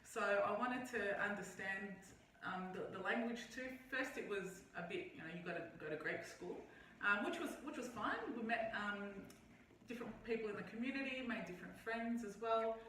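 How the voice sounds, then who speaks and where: Bright woman, echoey distant mic. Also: mid-range voice, normal speed, a little hiss or hum. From Australia. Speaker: guest. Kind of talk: casual conversational interview.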